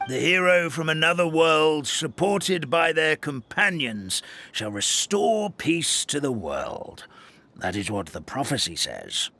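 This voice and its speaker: Casting: fussy old game hamster elder, courtly, flustered, bright voice, lively swings in pitch. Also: male voice, takes it slowly, full character voice. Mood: flustered, wistful. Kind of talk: video game voice acting.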